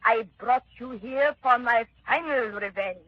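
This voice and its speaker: A woman's voice: fairly high pitch, a little slow, no room sound. An actress playing a vengeful, scheming woman who taunts her victim, in 1930s radio drama style, on a dull old broadcast. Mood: gloating. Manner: taunting, theatrical.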